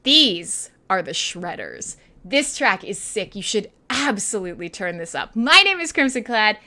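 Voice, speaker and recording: A woman with a Canadian accent hosting a casual, irreverent live radio show, talking in an excitable, playful, rambling way with plenty of swearing. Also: medium pitch, a little slow. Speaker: radio host and metal DJ. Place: her own home-style studio.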